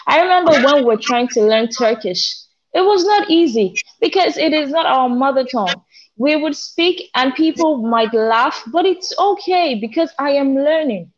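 A woman's voice: high voice, animated, normal speed. Passionate young woman, muffled video call. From Africa. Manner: passionate, gestures while talking, some restarts. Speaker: co-host. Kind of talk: casual discussion on video call. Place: indoors at home. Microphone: video call, front camera.

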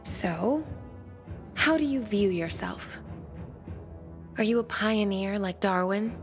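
An actress playing a pensive, sensitive young bookish survivor in a video game, speaking in measured, formal phrases. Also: female voice, mid-range voice, lively intonation, over music. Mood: probing, reserved. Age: young adult. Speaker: game character. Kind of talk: video game cutscene dialogue.

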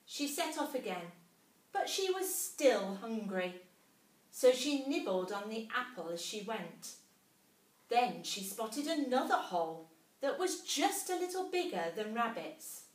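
Slow, expressive woman storyteller. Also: on the high side. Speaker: schoolteacher reading a storybook. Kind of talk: expressive storytelling for young children. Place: small classroom, indoors. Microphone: camera a few metres away. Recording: roomy classroom, distant camera mic.

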